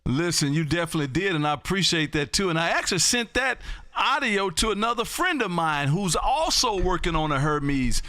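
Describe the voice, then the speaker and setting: A man from Texas running a ham radio live stream from his home radio room, talking loudly and excitedly in a folksy way. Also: high-pitched, fairly quick, dry recording. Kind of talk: ham radio contact chat.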